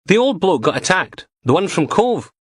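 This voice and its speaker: A man doing an eager young constable, voice pitched up. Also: very animated, moderate pace, slightly rough, dry recording, clean studio sound, heavily acted. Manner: chatty, eager reporting.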